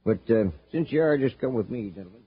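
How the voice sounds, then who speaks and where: An actor playing a folksy, naive, good-natured settler with an eager, hesitant manner in an old space radio drama. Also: male voice, mid-range voice, lively intonation, fast talker, husky. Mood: friendly, eager. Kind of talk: 1950s radio drama acting. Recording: muffled old radio recording, faint noise.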